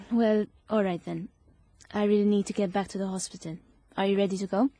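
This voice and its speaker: A woman's voice: mid-range voice, fairly even intonation, brisk, warm tone, no echo, lightly acted. A woman playing a prim, caring young British army nurse in a period radio drama. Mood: gentle, sympathetic.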